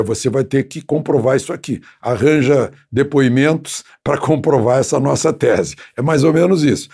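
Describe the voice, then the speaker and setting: Older male commentator, gravelly and husky, chatty, hesitant delivery, studio lapel mic. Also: medium pitch, a little mellow. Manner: conversational, many hesitations and tag questions; seated, gesturing with both hands. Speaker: political commentator. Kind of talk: unscripted spoken commentary. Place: small studio with graphic backdrop.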